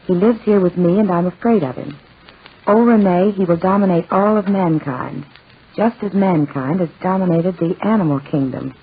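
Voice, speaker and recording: A woman's voice: on the low side, slightly rough. Skeptical young woman, tinny vintage radio. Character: young Parisian woman, skeptical, worried cousin. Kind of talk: 1940s radio acting. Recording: muffled, tinny 1940s radio recording.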